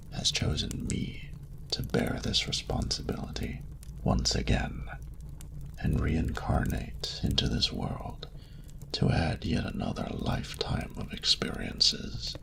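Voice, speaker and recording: A man voicing an ancient, courtly dragon ruler who speaks in a breathy, gravelly murmur with formal, doting phrasing, in an intimate romantic audio roleplay. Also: a bass voice, sing-song, in a whisper, full character voice. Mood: tender, regretful. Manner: slow, formal, archaic, tender phrasing.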